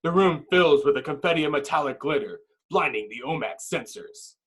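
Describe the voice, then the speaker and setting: A man's voice: a little high, animated, fairly quick, a bit bright. A man narrating a screenplay's action with intense, clipped dramatic phrasing. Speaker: narrator reading stage directions.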